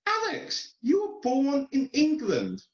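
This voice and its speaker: A man's voice: extremely high pitch, huge pitch swings, slow pace, pitched above the performer's own voice, exaggerated voice. A man voicing a doting, matter-of-fact English mother with a cooing, singsong exaggeration in a comic story. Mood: breaking news gently. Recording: roomy, slightly muffled online call.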